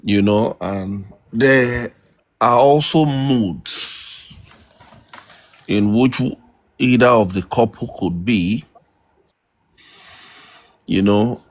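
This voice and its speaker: Man, middle-aged, low voice, husky, measured advice. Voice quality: loud voice. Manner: slow, measured advice with pauses. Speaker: guest elder.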